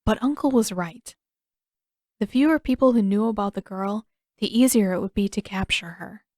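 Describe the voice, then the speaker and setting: A woman's voice: mid-range voice, normal speed, darkish tone, no echo, clean studio sound. A woman reading scripted story narration as an audiobook narrator.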